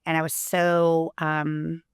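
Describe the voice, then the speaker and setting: A woman in her forties from Puerto Rico, an attorney talking as a guest in an earnest, casual interview, with many pauses and restarts. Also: sits low, completely flat delivery, clean tone, dry recording, clean studio sound.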